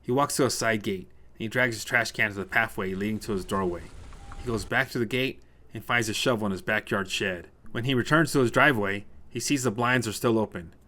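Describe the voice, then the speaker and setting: A man's voice: lowish voice, brisk, sharp tone. A man reading a scripted short story as narrator, told in the present tense.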